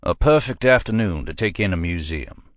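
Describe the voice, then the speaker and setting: A man voicing a soft-spoken federal agent with formal, unhurried manners. The voice sits low, and the sound is close and dry. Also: lively intonation, no echo. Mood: courteous.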